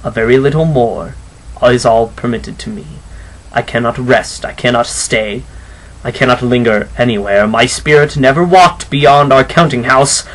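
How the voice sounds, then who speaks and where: A man's voice: mid-range voice, a bit bright, close to an everyday voice. A man voicing the tormented ghost of a dead businessman in a storybook reading, solemn, mournful and drawn out. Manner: slow, drawn-out, solemn delivery. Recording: noisy amateur home recording.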